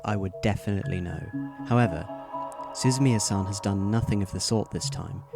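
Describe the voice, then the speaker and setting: A courteous, confident schoolboy played by a man speaks in a lowish voice in an audio drama. His phrasing is formal and measured, with music clearly playing underneath. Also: lightly acted. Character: polite, self-assured teenage schoolboy, smooth explainer.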